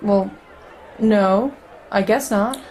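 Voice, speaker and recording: A woman's voice: medium pitch, extremely slow, dark tone, a faint crowd, a little hiss or hum, rough recording. An actress playing a wary, brusque but curious city woman in radio play dialogue. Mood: skeptical. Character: skeptical, brusque female New Yorker, wary but curious.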